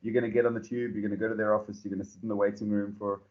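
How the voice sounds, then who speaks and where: South African man, founder being interviewed, lowish voice, rambling delivery, dull lo-fi sound. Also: rapid-fire. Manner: relaxed, rambling with many fillers and restarts. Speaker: startup founder and CEO. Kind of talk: podcast interview.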